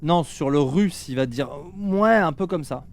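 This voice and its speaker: A man running a tabletop game as game master, giving quick explanatory asides. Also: fairly high pitch, soft background music.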